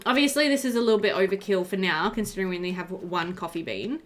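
A woman in her thirties giving casual, chatty let's-play commentary, animated and thinking aloud. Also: medium pitch, fast talker, a little hiss or hum. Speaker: gaming video host. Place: indoor room.

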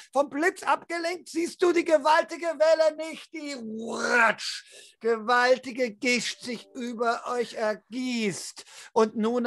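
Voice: mid-range voice, fairly bright tone, no room sound. Dramatic storyteller with a dry, close sound. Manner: slow dramatic pauses, vivid descriptions. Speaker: game master, storyteller. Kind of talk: dramatic improvised narration of a tabletop role-play. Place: indoor room, video call.

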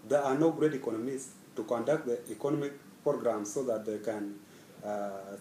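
Young man, from South Sudan, earnest, hesitant, mellow tone, echoey office, off-mic. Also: mid-range voice, a little hiss or hum. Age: young adult. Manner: earnest, hesitant with many pauses and fillers. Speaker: scholarship student. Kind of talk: self-introduction to camera. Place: small office, indoors. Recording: echoey room, slightly far from mic.

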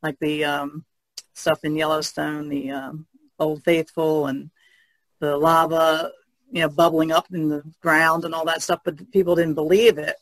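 A man with a somewhat high, gravelly voice talks casually as a podcast panelist. His intonation stays fairly even, and the sound is dry and close. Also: a little slow, no room sound, rough recording. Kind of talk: casual discussion.

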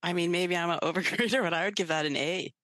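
A woman's voice: on the low side, fast, fairly bright tone, no echo, studio quality. A woman co-hosting a therapy podcast, giving feedback lightly with laughter. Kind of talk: giving feedback on role-play.

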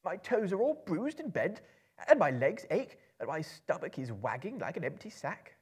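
A man's voice: high voice, sing-song, moderate pace, slightly rough, voice pushed up, full character voice. A man voicing a small, anxious hobbit in a storybook reading, plaintive and exclaiming. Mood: weary, complaining. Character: small male hobbit, anxious, fretful complainer.

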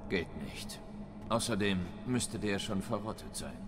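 An actor playing a grizzled middle-aged monster hunter who talks tersely and bluntly in a video game dub. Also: male voice, on the low side, lively intonation, a little slow. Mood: curt. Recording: reverberant with music underneath.

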